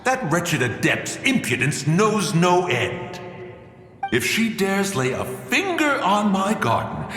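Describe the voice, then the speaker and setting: Bombastic elderly game immortal, wildly swooping delivery, piercing tone, long reverb over music. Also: male voice, high-pitched, wildly swooping pitch, slow, a bit bright, exaggerated voice. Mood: indignant. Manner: bombastic, archaic grandiose diction. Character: excitable old male immortal adept, pompous and manic. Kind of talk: video game character acting. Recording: echoing hall reverb, music behind.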